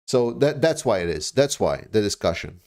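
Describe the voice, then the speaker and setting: A man from Denmark hosting a livestream, answering viewer questions in casual, blunt commentary, haltingly and repeating words for emphasis. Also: fairly low pitch, big pitch swings, a little mellow. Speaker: livestream host.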